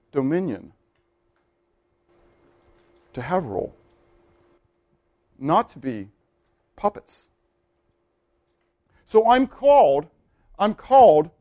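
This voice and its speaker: A man preaching a sermon, animated and full of questions for his listeners. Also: high voice, no room sound, clean studio sound. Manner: animated, asks the congregation questions. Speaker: pastor.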